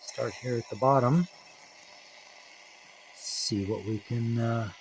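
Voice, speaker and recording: A man's voice: medium pitch, takes it slowly, poor audio. A man giving a casual, offhand hands-on demonstration of a radio as its reviewer. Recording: clear background radio static noise. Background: shortwave radio noise.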